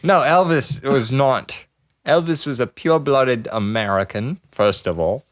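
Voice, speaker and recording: A man's voice: mid-range voice, unhurried. A young man co-hosting a comedy podcast, joking around while trying to keep the talk on track. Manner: jokey, keeps steering the talk back on track. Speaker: podcast co-host. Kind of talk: improvised comedy podcast banter.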